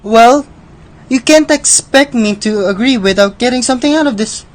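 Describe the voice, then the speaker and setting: A woman plays Death as a haughty, teasing gambler in a radio drama. The voice sits fairly high and talks down to others with sly, theatrical scorn. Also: normal speed, close to an everyday voice. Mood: haughty, mocking. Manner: theatrical, condescending.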